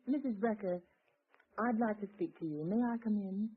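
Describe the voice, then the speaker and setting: A woman's voice: mid-range voice, moderate pace, slightly rough, lightly acted. An actress playing a brave, earnest young woman companion in a 1940s radio drama. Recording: tinny old radio broadcast.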